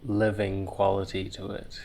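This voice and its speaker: A man leading a guided visualization in a soothing, meditative way, leaving long pauses between short phrases. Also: deep voice, completely flat delivery, a little mellow.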